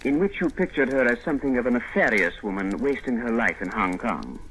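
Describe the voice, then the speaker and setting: An actor playing a stiff, formal British colonial police commissioner in an old radio drama, with a clipped, pompous manner. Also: male voice, medium pitch, expressive, brisk, fairly bright tone, slightly rough. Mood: dry, reproving. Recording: slightly noisy old radio recording.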